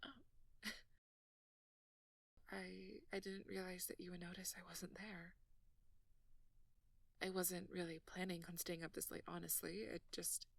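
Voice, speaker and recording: A woman playing a nerdy, sleepy, flustered and playfully teasing girlfriend in an intimate ASMR roleplay, speaking softly and haltingly, often near a whisper. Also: lowish voice, flat delivery, gravelly, dry recording, distant mic, full character voice. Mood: sheepish, weary. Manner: halting, bashful, conversational.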